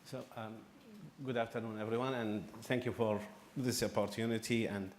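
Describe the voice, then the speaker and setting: Iraqi-born man, lowish voice, presenting on a panel. Hesitant, many restarts. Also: steady tone, slight background noise. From Iraq. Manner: halting, with frequent restarts and fillers. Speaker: refugee services executive, panelist.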